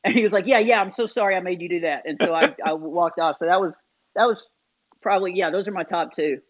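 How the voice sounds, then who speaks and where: A man, a comedian guest in an interview, telling self-deprecating stories in an animated, casual way with many fillers. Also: high-pitched, fast talker. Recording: dull lo-fi sound.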